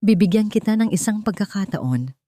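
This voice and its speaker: A woman voicing a falsely gentle scheming stepmother. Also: medium pitch, expressive, a little fast, no echo, studio quality, lightly acted. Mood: falsely kind. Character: scheming sweet-talking stepmother, adult woman.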